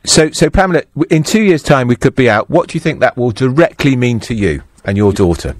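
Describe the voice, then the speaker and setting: A man hosts a radio phone-in from the studio, putting clear, direct questions to his listeners. He speaks at a middle pitch, and the studio sound is dry, with no room echo. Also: lively intonation, a little fast. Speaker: radio talk show host. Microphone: studio microphone.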